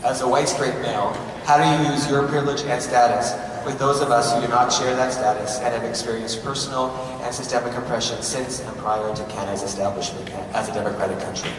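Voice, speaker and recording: A man in the audience asking a prepared question in a formal, steady way. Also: mid-range voice, a little fast, hardly any pauses. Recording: echoey hall from far off, hiss.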